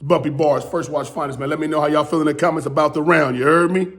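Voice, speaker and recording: A man and battle rapper with a strained, hoarse voice, recapping his round in loose, rambling casual talk. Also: a little high, fairly even intonation, quick pace, fairly bright tone. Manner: loose, rambling, repeats "you know what I'm saying". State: losing his voice.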